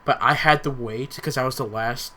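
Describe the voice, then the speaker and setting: A man chats casually on a podcast, recounting things in a halting, rambling way. His voice is bright and forward, sitting at an average pitch for a man. The delivery is plain, unscripted conversation. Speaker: podcast host. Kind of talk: casual anecdotal chat.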